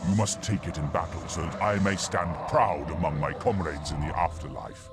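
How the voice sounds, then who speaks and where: An actor playing the proud ghost of a warrior in a video game, speaking in a solemn, honorable, declamatory way. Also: male voice, low-pitched, fairly even intonation, moderate pace, over music. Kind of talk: video game character acting.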